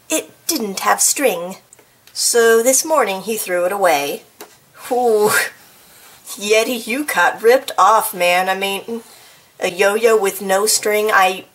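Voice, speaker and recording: A woman reading a children's picture book aloud in an expressive, playful way, with casual, chatty asides. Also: medium pitch. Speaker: storybook reader.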